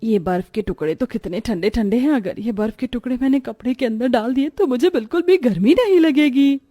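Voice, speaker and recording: A woman's voice: a little high, animated, brisk, warm tone, no room sound. A woman voicing a naive, earnest young village bride in a dramatized moral story. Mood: pleased.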